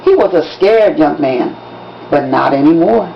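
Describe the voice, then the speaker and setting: A woman's voice: low voice, expressive, a little slow, creaky, pitched below the performer's own voice, full character voice. A woman voicing a proud male school principal making formal, declamatory announcements for an audiobook-style reading. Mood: proud, announcing. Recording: dull lo-fi recording with background noise.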